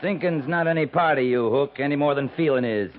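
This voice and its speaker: Man playing hard-bitten cavalry officer, terse, commanding, medium pitch, vintage radio drama with faint hiss. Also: a little fast, natural voice. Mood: stern, grim. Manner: curt, commanding, laconic. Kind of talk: 1950s radio western acting. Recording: muffled 1950s radio transcription, faint hiss.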